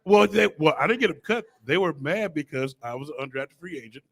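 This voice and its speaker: Argumentative American man, slightly high voice. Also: lively intonation. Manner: argumentative, interrupting, halting repeats. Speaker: former pro football player and panelist. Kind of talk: heated sports-panel banter.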